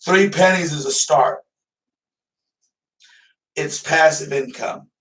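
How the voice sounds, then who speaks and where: A man's voice: fairly high pitch, slow, a bit bright, far from the mic. A man hosting a live stream, chatting casually and rambling loosely, swearing now and then. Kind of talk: casual livestream chat.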